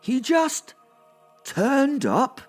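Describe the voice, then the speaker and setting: A man voicing a pompous, wounded archangel in an audio drama, declaiming grandly and halting with outrage. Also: very high-pitched, sing-song, faint music behind, pitched above the performer's own voice, heavily acted. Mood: incredulous, imperious. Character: Archangel Gabriel, pompous, wounded, indignant male angel.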